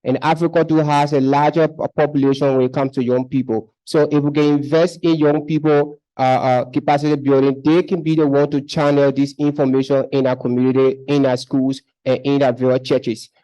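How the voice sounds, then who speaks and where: A young man making an earnest case at a conference, sometimes repeating himself. His voice sits in a middle register with a warm, mellow tone. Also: mid-range voice, level tone, fairly quick, hardly any pauses, poor audio. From Liberia. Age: young adult. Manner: earnest, repeats words, advocating. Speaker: conference participant. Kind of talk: discussion contribution. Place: conference hall.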